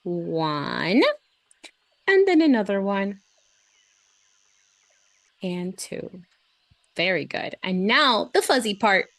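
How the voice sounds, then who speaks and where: A woman's voice: medium pitch, sing-song, slow pace, pauses often, no echo. A woman hosting a read-aloud and drawing lesson for children, chatty, gushing and encouraging, often breaking into exclamations.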